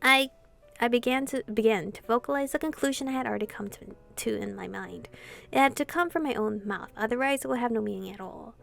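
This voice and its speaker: A woman's voice: fairly high pitch, fairly quick, gravelly, fairly bright tone. A woman gaming streamer reading a visual novel aloud, breaking into casual, giggly, chatty commentary between lines. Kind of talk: casual let's-play commentary mixed with read-aloud narration.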